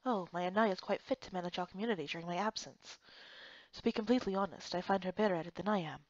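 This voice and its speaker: A wise old male turtle master from a fantasy game, acted out by a woman, speaks slowly and quietly in measured, formal phrases. The voice is calm and restrained, captured in a dry, slightly dull recording. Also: on the low side, dry recording, pitched below the performer's own voice, lightly acted. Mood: serene, fond.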